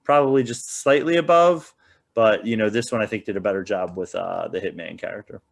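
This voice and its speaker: A man's voice: on the low side, fairly quick, vocal fry. A man giving casual opinions as a podcast panelist, in a rambling, hedging way. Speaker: podcast panelist reviewing films.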